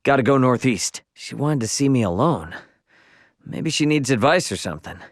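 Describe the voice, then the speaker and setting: Casting: male actor as young game hero, brash, offhand and slangy, animated intonation, mid-range pitch, close dry sound. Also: moderate pace, no echo, natural voice. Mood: calm, musing. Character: brash, casual young male adventurer, impatient but good-hearted. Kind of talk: video game character voice lines.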